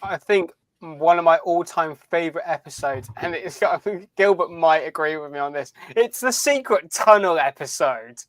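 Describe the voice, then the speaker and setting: A man's voice: fairly high pitch, moderate pace, hardly any pauses, fairly bright tone, no room sound. A man co-hosting a podcast, chatting casually as a fan and often repeating phrases as he thinks. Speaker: podcast co-host. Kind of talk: casual fan chat about a TV series.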